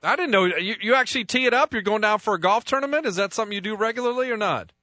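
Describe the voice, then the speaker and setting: A man's voice: high-pitched, very fast, pushed and bright, no echo. A man hosting a sports radio interview in a studio, chatty and joking with lots of fillers.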